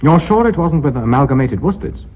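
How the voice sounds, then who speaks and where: Commanding chairman, swooping husky delivery. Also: fairly high pitch, animated, a little fast. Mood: probing. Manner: authoritative, probing, insistent. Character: brisk, commanding company chairman pressing a board inquiry. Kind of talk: radio drama acting. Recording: dull radio recording.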